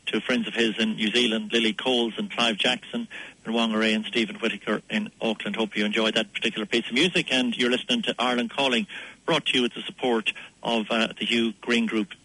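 A man presenting a community radio programme casually, with a hesitant, halting delivery, close on a studio mic. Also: fairly low pitch, level tone, pushed and bright, breathy edge, no room sound, rough recording. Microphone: close studio microphone.

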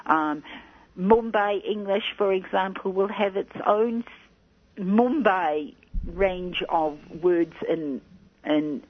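A woman, a guest on a radio talk show, who explains things in a measured way. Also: mid-range voice, slightly rough. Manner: measured and explanatory, often saying "you know". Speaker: guest speaking on migrant job-seeking. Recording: dull lo-fi radio recording.

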